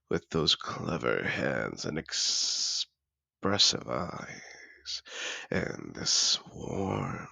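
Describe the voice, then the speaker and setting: A man playing a seductive, possessive dragon disguised as a wealthy patron, speaking in a courtly, deliberate, intimate way for a fantasy audio roleplay. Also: sharp tone, no echo, exaggerated voice. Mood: tender, seductive. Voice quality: deep gravelly voice with breathy murmurs.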